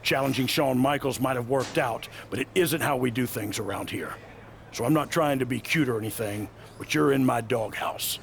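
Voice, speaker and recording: A man playing a stern, no-nonsense veteran wrestling coach in a video game cutscene, gravelly and blunt. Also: mid-range voice, normal speed, a little hiss or hum, natural voice. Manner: blunt, reproachful.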